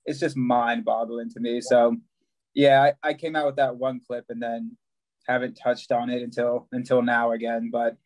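A man's voice: medium pitch, flat delivery, moderate pace, darkish tone. A young man, a long-distance hiker, chatting over a video call from a small room, often restarting his sentences and laughing easily. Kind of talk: casual video call chat.

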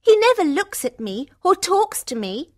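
A woman's voice: very high-pitched, very animated, moderate pace, voice pushed up. A woman reading a storybook aloud and voicing two unhappy, envious adult sisters in a whiny, plaintive way. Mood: complaining.

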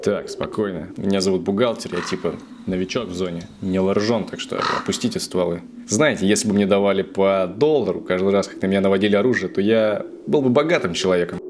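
A man's voice: low-pitched, fast, few pauses, a little mellow, a little hiss or hum, natural voice. A man voicing a cocky, nervous young rookie stalker in a cartoon, slangy, streetwise and sarcastic. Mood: placating, cheeky. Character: cocky, wisecracking male rookie stalker, nervous but cheeky. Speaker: voice actor. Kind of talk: cartoon dub acting.

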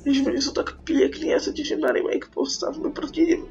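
A woman's voice: sits high, flat delivery, creaky, a little mellow, faint music behind. A young Czech woman giving casual, sarcastic let's play commentary, informal and sweary. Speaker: gaming YouTuber. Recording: dull lo-fi mic with faint noise.

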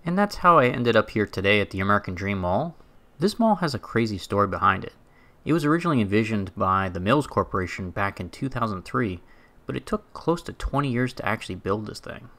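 A man's voice: fairly low pitch, big pitch swings, brisk, a bit bright. A young man narrating a walking tour for his travel vlog in a casual, chatty way, with fillers and restarts. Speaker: travel vlogger.

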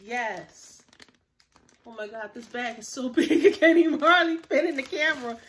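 A woman's voice: high-pitched, animated, normal speed, fairly bright tone. A woman in her thirties chatting to viewers in a haul vlog, a little nasal from being sick, recorded on a phone at home. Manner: chatty, upbeat, addresses viewers directly. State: slightly nasal, recovering from illness. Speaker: vlogger. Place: small room at home, plain grey wall.

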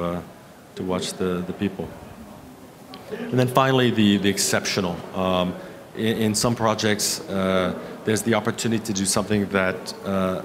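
A man in his fifties giving a conference keynote as an architect, with a halting delivery full of pauses. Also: sits low. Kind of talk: conference presentation with slides. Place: conference hall stage at a lectern. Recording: clear background room noise.

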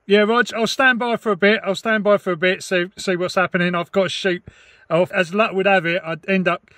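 Bright-voiced man, casual. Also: high voice, steady tone, brisk, no room sound, studio quality. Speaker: vlogger and CB radio hobbyist. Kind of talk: casual demonstration and radio chat. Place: inside a parked car. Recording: clear background noise and radio hiss. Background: CB radio static and chatter.

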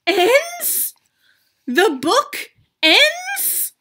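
Excitable, anxious boy elephant played by a woman, storybook read-aloud, very high, bright, exclamatory. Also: exaggerated voice. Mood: panicked. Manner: exclamatory, drawn-out, astonished. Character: excitable, anxious male cartoon elephant from a picture book.

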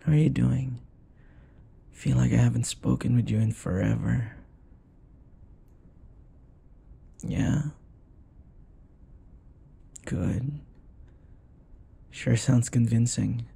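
A man acts out an affectionate young boyfriend in an intimate audio roleplay. His voice is breathy and gravelly, murmured softly and tenderly. He takes his time, teasing his partner in a gentle, comforting way. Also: medium pitch, steady tone, takes it slowly, long pauses, full character voice. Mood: gentle, concerned.

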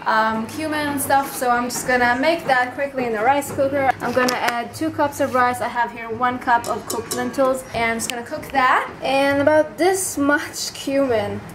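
A woman's voice: sits high, normal speed, hardly any pauses, noisy background. A woman in her twenties chatting enthusiastically through a casual cooking vlog in a small apartment kitchen, talking to a handheld camera. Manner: bubbly, enthusiastic, tastes food on camera. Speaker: vlogger.

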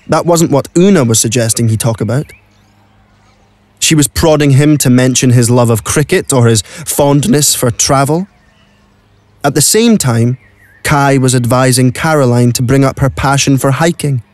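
A man's voice: medium pitch, darkish tone, dry recording. A man narrating a scripted story for an audio play.

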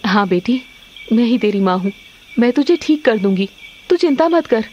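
A woman's voice: on the high side, animated, normal speed, a little mellow, lo-fi sound, a little hiss or hum, close to an everyday voice. A woman voicing an emotional, remorseful mother with earnest, dramatic delivery in a cartoon story. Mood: remorseful, tender.

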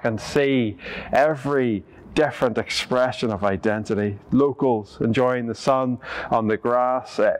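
Northern Irish man preaching a sermon. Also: medium pitch. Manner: earnest, steady preaching with occasional fillers. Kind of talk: sermon reflection. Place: church interior, standing before the altar.

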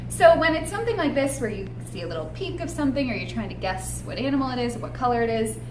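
In a roomy, echoing space with clear background noise, a woman in her thirties talks to preschoolers. Her voice is high and swings expressively up and down as she presents a storytime. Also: fast talker. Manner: animated, expressive, addressing small children. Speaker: children's museum storytime presenter. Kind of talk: read-aloud and tips for young children. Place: indoor room with painted mural wall.

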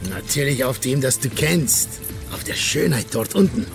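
A man's voice: medium pitch, lively intonation, normal speed, creaky, over music. An actor playing a wealthy, smug, regal prince in a radio drama, savouring his words theatrically. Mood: gracious, commanding.

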